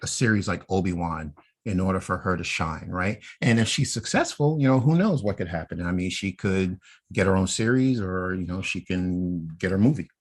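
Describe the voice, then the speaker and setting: Deep-voiced American man hosting a podcast. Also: expressive, moderate pace. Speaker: podcast host. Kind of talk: conversational podcast discussion.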